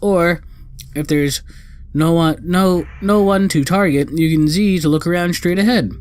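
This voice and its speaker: A man's voice: fairly high pitch, measured pace, a little mellow, voice pushed up, close to an everyday voice. A man voicing a small, sassy, bossy female fairy companion, reading out video game dialogue. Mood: bossy, instructing.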